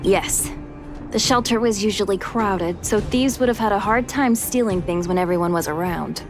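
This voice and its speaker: Lady doing an earnest miner npc in a video game, calm mid voice, clear music under it. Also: medium pitch, moderate pace, close to an everyday voice. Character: earnest, loyal adult female miner. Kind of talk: measured video game dialogue.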